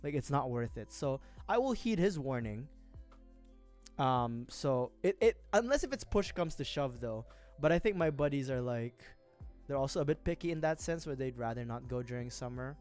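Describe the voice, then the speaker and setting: A man's voice: medium pitch, animated, normal speed, a little mellow, faint music behind. A young man from the Philippines, chatting casually and rambling on a livestream, laughing often. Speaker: vtuber streamer. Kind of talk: casual chat while building a model kit.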